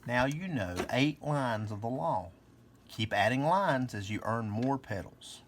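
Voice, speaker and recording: Male children's storybook reader, mid-pitched with animated intonation, slight background noise. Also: measured pace. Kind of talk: children's story read-aloud.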